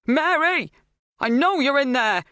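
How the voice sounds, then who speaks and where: A woman voicing a desperate, fearless older mother in an audiobook, urgent and forceful. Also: on the low side, normal speed, no room sound, clean studio sound. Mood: fierce, desperate.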